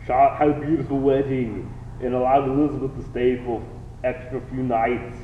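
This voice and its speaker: A man's voice: mid-range voice, flat delivery, moderate pace, close to an everyday voice. Guy doing a formal radio narrator, 1920s parody, phone-like sound with a bit of hiss. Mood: storytelling. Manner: formal storytelling. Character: formal old-time radio drama narrator. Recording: tinny, echoey, distant, faint hiss.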